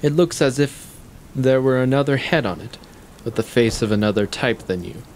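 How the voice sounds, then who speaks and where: An actor playing a shrewd, needling intellectual in a stage play, speaking deliberately in formal, articulate, condescending sentences. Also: male voice, medium pitch, slight background noise, close to an everyday voice. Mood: calm, needling. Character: shrewd, probing male intellectual, coolly needling his guest. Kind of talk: stage play acting, long monologues.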